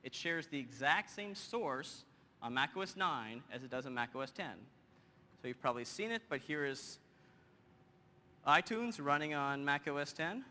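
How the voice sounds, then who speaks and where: Male presenter, 30s, bright, punchy stage voice, lively demo delivery, big hall with long reverb. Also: on the high side. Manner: energetic, quick and joking with the audience. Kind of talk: live software demo on stage. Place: large conference hall stage. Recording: hall reverb, faint noise.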